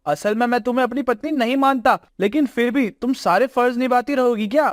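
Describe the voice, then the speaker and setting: A woman's voice: on the high side, brisk, no room sound, clean studio sound, pitched above the performer's own voice, lightly acted. A woman voicing an arrogant young husband in a story, indignant and scornful. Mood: angry. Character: arrogant, short-tempered young husband and son.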